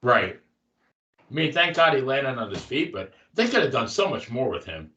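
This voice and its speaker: A man's voice: medium pitch, big pitch swings, normal speed, bright, forceful tone, recorded from a distance. A man co-hosting a wrestling talk show in casual chat, asking questions and readily agreeing. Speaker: wrestling podcast co-host. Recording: dull, roomy, off-mic.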